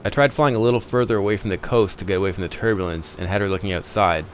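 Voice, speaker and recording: A man with a lowish voice narrates a pilot's vlog at a brisk clip. His delivery is informal and chatty, happy to poke fun at himself, with faint noise behind him. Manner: chatty, informal, self-deprecating. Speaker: private pilot and video host.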